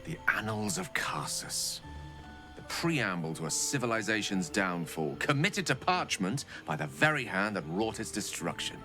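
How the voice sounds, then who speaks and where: An actor playing an eloquent, refined wizard in a video game, speaking articulately and theatrically. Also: male voice, on the low side, big pitch swings, moderate pace, creaky, over music. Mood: intrigued.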